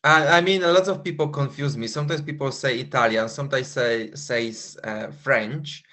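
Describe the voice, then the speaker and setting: Halting Polish-accented man. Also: medium pitch, bright, forceful tone. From Poland. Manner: halting, searching for words, laughs often. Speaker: guest runner. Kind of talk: casual interview.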